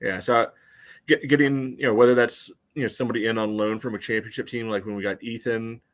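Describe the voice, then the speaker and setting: A man co-hosting a soccer podcast, giving casual analysis in a measured, conversational way. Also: medium pitch, steady tone.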